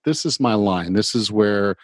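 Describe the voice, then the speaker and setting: A middle-aged man hosting a podcast who talks haltingly and repeats words as he searches for the right phrasing. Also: lowish voice, no room sound, clean studio sound. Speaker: podcast host. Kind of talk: casual interview talk.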